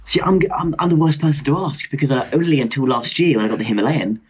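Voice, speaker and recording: Husky young man, chatty storyteller. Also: medium pitch, fast, low-quality recording. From London, England. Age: 20s. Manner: excitable, re-enacts dialogue. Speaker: podcast guest, motorcycle traveller. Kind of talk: casual animated storytelling.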